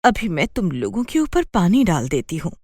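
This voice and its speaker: A hard-working mother performed by a woman narrator with a lowish, very expressive voice in a dry recording. She can scold but is soft-hearted, as in a children's cartoon tale. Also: normal speed, dry recording. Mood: grateful, apologetic. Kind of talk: children's cartoon story voice acting.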